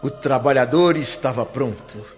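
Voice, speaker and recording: A man's voice: mid-range voice, normal speed, lightly acted. A man playing a humble, devout spirit who narrates a radio drama with reverent, emotive expression. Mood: reverent, moved. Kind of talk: dramatic radio-play first-person narration. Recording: dull lo-fi audio with music behind. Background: clear background music.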